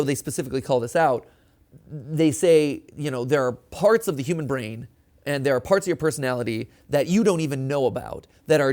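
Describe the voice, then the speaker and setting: Higher-voiced man giving casual reaction commentary. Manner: animated, conversational, often ends points with "right?". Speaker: reaction show host.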